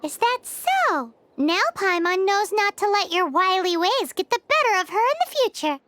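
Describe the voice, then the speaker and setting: A woman's voice: very high voice, very animated, normal speed, a little hiss or hum, heavily acted. A woman voicing a small, cheeky, childlike fairy companion in a video game, blunt and exclamatory. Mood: impressed. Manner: refers to self in third person, exclamatory. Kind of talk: cartoonish video game acting. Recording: loud background game music.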